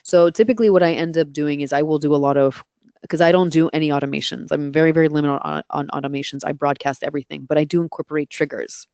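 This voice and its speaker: A woman's voice: low voice, steady tone, fast talker, dark tone, dry recording. Woman with a dry radio-style voice. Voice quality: voice suited to radio. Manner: practical, explains in steps with self-corrections. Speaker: guest expert answering questions. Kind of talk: live webinar Q&A.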